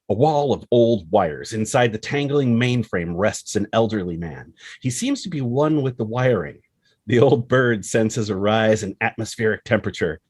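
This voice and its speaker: A man's voice: on the low side, breathy edge, no echo. A man reading scripted screenplay action and scene headings as the narrator.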